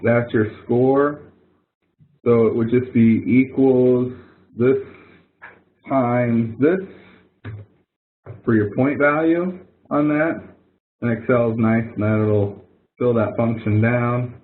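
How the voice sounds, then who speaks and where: A man instructor talking students through an assignment in a casual, explanatory webinar style, thinking aloud as he demonstrates. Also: mid-range voice. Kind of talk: webinar walkthrough. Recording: phone-like narrow audio from a webinar.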